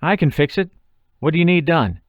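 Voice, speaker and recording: Man voicing a panicked, klutzy male scientist sidekick, pitched up. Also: expressive, a little fast, no echo, muffled recording, lightly acted. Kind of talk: audiobook character voice.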